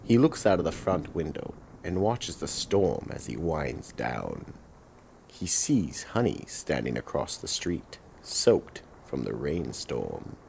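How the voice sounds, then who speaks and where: A man with an extremely deep, gravelly voice narrates a horror radio play. He reads slowly and deliberately, with faint noise behind. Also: very animated, a little mellow. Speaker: narrator, announcer.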